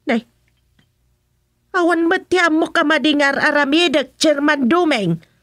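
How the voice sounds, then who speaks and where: High-voiced Ilocano woman, slow and pleading. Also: fairly bright tone, dry recording, clean studio sound. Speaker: village councillor. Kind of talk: radio drama acting.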